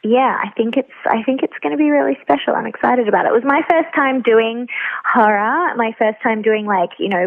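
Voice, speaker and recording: A woman speaks over a narrow, tinny phone line as an interview guest. She chats informally, sprinkling in "like" often, at a middling pitch. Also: gravelly. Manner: informal, filler-heavy with frequent "like". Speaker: guest, actor and writer. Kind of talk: casual interview chat. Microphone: phone call.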